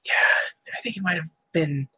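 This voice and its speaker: A woman co-hosting a casual chat about old cartoons, chatty and quick to laugh, looking things up as she talks. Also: sits low, a little hiss or hum. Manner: chatty, laughs often, looks things up aloud. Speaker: podcast co-host.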